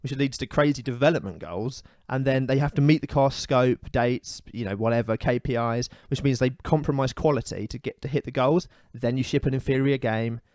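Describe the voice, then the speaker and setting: A man's voice: medium pitch, a little fast, hardly any pauses, darkish tone, dry recording, clean studio sound. A British man co-hosting a podcast, chatting casually and giving emphatic opinions, often repeating himself as he complains. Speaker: podcast co-host. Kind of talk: casual opinionated gaming chat.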